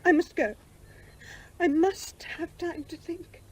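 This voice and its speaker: A lovelorn British mother in a radio drama. Her voice is high and plaintive, conflicted yet stubborn, with earnest dramatic delivery. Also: steady tone, takes it slowly, darkish tone. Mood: anguished. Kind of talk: radio play acting.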